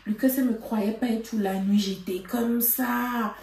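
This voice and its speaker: Woman vlogger, animated storytime chat, higher pitch, mic at a distance. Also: measured pace, gravelly. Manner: animated, laughing, lots of fillers. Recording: roomy, from a distance.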